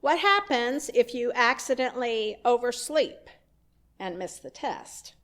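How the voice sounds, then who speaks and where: A woman minister delivers a sermon in a church, her pitch sitting a little high and swinging widely as she speaks. She talks slowly and tells her story conversationally, dropping in wry asides. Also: lively intonation, a bit bright. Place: church sanctuary.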